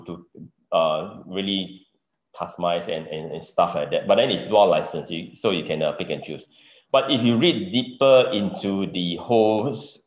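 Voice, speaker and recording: A man with a Singaporean English accent giving a casual open source news update at a meetup, halting, with many fillers and "right?" tags, over a video call. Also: low-pitched. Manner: halting, many fillers and restarts, tag questions. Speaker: meetup presenter. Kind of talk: casual tech news talk. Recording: roomy, dull video-call audio.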